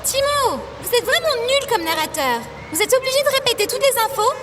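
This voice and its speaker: A woman's voice: very high voice, big pitch swings, fairly quick, background noise. An actress playing a prim, nosy, mocking woman in an audio drama, speaking formally and incredulously. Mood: exasperated. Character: Constance, prim, nosy, mocking female companion listening to a story.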